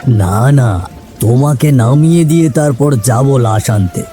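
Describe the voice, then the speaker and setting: A man's voice: medium pitch, lively intonation, measured pace, dark tone, close to an everyday voice. Man playing a folksy village uncle over music. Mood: regretful. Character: kindly older village ox-cart driver, a chatty uncle.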